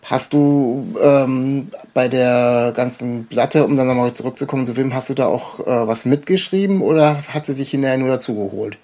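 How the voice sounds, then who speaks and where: A man's voice: medium pitch, normal speed, hardly any pauses, mellow. A man hosting a podcast in casual interview chat, with hesitant, meandering phrasing. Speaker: podcast host.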